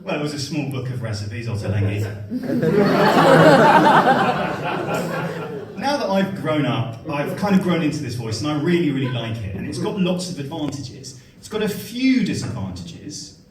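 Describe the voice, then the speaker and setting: A man's voice: fairly high pitch, huge pitch swings, measured pace, pauses often, background noise. A young man from Somerset with a posh English accent, doing a dryly self-mocking stand-up set at a comedy venue. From Somerset, England. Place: comedy venue with live audience. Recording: roomy live stage sound from a distance, loud crowd laughter.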